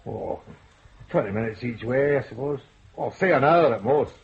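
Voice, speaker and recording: An actor playing a plain-spoken police sergeant who grumbles. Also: male voice, medium pitch, animated, normal speed, vocal fry, husky, muffled recording, exaggerated voice. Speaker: police officer.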